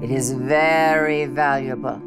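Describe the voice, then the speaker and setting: Storybook role: woman as elderly village witch, kindly but firm, unhurried and deliberate, music underneath. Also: a bass voice, steady tone. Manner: deliberate, instructing.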